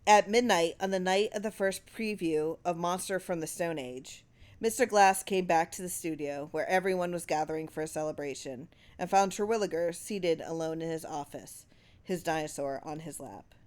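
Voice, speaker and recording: A woman reading a short story as expressive audiobook narration, with dramatic, measured phrasing. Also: lowish voice. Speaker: story reader.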